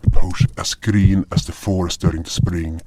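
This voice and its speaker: A man's voice: low-pitched, level tone, normal speed, mellow, no room sound, whispered. A man reading a scripted first-person fantasy narration as the storyteller of an audio drama. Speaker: narrator and voice actor.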